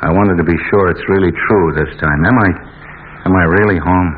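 An actor as a tinny-sounding, restless homecoming husband. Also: male voice, low voice, fairly quick, a little hiss or hum, close to an everyday voice. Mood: groggy, wistful. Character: restless, hard-drinking husband and father home from war, genial. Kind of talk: 1940s radio drama acting. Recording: tinny old radio broadcast, faint music.

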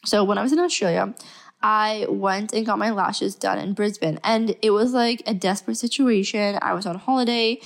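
A woman's voice: mid-range voice, fairly even intonation, normal speed, vocal fry. Stuffy-voiced woman with a cold. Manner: chatty and upbeat, with fillers and laughs. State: stuffy, sick voice. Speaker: podcast host. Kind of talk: casual solo podcast talk.

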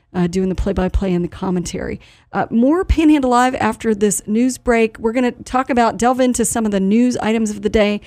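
Woman hosting local radio news talk, soft mellow tone, chatty and informal, very dry room. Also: mid-range voice, no room sound. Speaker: radio talk show host.

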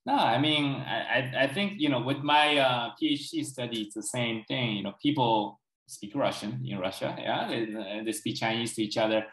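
A young man talking as an interview guest, explaining his background in a relaxed, conversational way and often checking in with the listener. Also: mid-range voice, normal speed, hardly any pauses. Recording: roomy echo.

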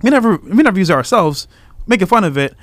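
A young man co-hosting a podcast who speaks in a fast, animated and insistent way during a heated discussion. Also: sits high, dry recording. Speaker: podcast co-host.

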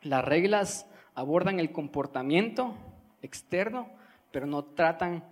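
A man's voice: fairly high pitch, expressive, a little slow. A man with a Guatemalan Spanish accent delivers a sermon as a preacher, emphatic and repeating his key phrases.

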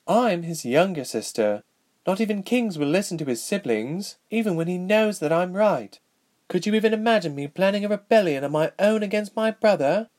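Man acting a young princess, raised pitch, impatient, earnest, emphatic, fantasy audiobook character. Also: a little mellow. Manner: exasperated, emphatic.

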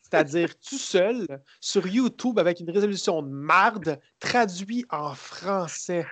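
Man, Québécois accent, podcast co-host, sarcastic, rambling, fairly high voice, dry close sound. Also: lively intonation, a bit bright, no echo. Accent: Québécois French. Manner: sarcastic, rambling, halting storyteller. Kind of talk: casual podcast chat about films.

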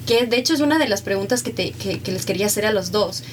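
Thoughtful Ecuadorian host, slightly distant. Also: female voice, mid-range voice, lively intonation, quick pace, slight background noise. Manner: thoughtful, asks open questions. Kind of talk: casual conversation.

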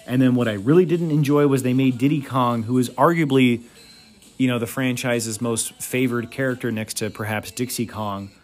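A man's voice: medium pitch, moderate pace, faint music behind. A man hosting a solo podcast, giving blunt, opinionated reviews with crude humor. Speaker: podcast host.

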